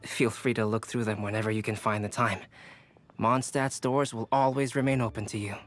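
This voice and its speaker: A man's voice: fairly low pitch, little pitch movement, brisk, a bit bright, lightly acted. A man voicing a courteous, helpful scholar in a video game, speaking politely and formally. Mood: kindly.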